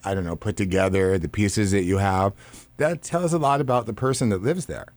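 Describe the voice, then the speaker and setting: A man hosting a radio talk show, chatty and easygoing, with a raspy voice today. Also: on the low side, brisk, darkish tone, no echo.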